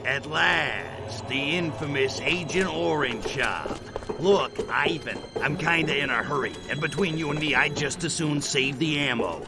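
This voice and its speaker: An actor playing a cocky, irritable alien invader in a video game, sarcastic and brash, full of sneering wisecracks about humans. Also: male voice, fairly high pitch, unhurried. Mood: cocky threatening. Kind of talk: video game cutscene voice acting.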